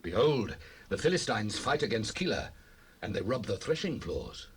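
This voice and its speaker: An actor playing a fearful, urgent soldier in a dramatized Bible recording, with a raspy voice. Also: male voice, on the low side, very animated, moderate pace, heavily acted. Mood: urgent alarm. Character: anxious, fearful soldier of David's band.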